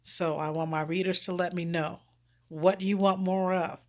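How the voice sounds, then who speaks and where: Female author, lowish pitch, informal direct-to-audience monologue, expressive delivery, leans on repeated words for emphasis. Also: moderate pace. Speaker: erotic romance author promoting their own book.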